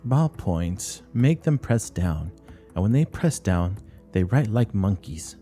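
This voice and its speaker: Stern senior nun played by a man, mellow low register, animated delivery, severe stage-play lecturing. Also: takes it slowly, faint music behind. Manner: curt, severe, moralizing. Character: stern, strict older nun and school principal. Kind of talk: dramatic play reading.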